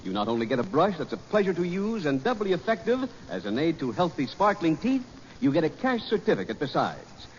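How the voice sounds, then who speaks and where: A man announcing on a 1940s radio show, reading a sponsor's sales pitch with polished, emphatic delivery. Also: a little mellow, slight background noise. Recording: muffled old radio recording, hall reverb.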